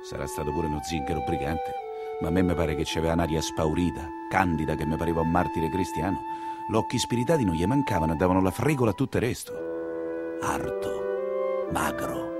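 An actor playing a wry, earthy nineteenth-century coachman who tells his story in colloquial Roman, in a grumbling, expressive stage monologue. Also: sits low. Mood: wry storytelling.

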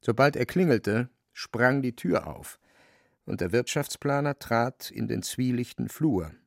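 A man narrating a literary radio play as scripted storytelling, with a creaky voice. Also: medium pitch, expressive, a little slow, no echo, clean studio sound.

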